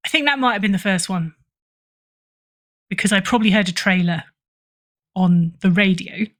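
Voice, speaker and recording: Chatty middle-aged British woman. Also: on the low side. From United Kingdom. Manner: chatty, often rephrasing mid-sentence. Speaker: podcast guest, fiction editor. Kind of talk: casual interview chat.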